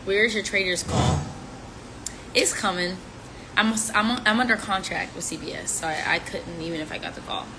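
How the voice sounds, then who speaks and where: A woman's voice: fairly low pitch, normal speed. A woman in her twenties chatting casually and teasing while she answers fan questions on a live stream, with playful rhymes. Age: 20s. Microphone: phone. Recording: audio from a phone live stream with background noise.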